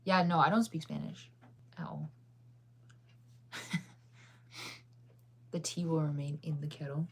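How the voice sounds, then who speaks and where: A woman's voice: low-pitched, steady tone, a little slow, creaky, long pauses, husky. A woman chatting casually with her viewers, rambling through stories with lots of fillers and frequent laughter. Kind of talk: casual chat.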